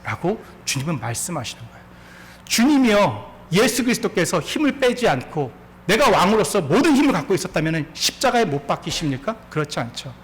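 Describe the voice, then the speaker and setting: Lively, high-voiced preacher man. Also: brisk. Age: 40s. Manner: storytelling with laughter and rhetorical questions to the congregation. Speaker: pastor. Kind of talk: sermon.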